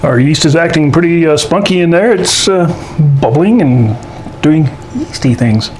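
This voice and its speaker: A man's voice: a little high, expressive, moderate pace, a little hiss or hum, low-quality recording. A man hosting a casual cooking demonstration at home, talking in a folksy way with joking asides. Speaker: show host. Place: indoors at home kitchen.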